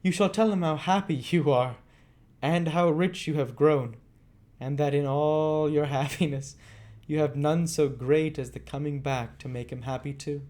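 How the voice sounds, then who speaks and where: A gentle young woman from a storybook, played by a man. The pitch is raised well above a man's talk and the tone is mellow, with tender, reassuring warmth. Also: steady tone, unhurried, natural voice. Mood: tender reassurance. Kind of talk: storybook character reading.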